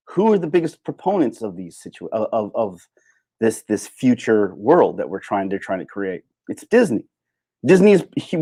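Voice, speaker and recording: A man giving a casual, opinionated livestream monologue in an animated, sardonic way. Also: mid-range voice. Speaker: livestream host.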